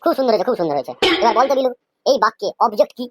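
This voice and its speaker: Woman as a rapid-fire exasperated cartoon schoolteacher. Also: a little high, animated, loud music behind the voice, lightly acted. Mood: instructing. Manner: scolding, questioning. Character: exasperated, stern male schoolteacher quizzing pupils. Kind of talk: comic cartoon dialogue.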